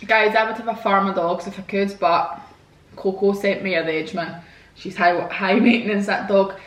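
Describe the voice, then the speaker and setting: A woman's voice: mid-range voice, steady tone, moderate pace, reverberant. A woman, chatting frankly and casually to her viewers while answering their questions. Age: 20s. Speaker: vlogger. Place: home, indoors. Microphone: camera mic.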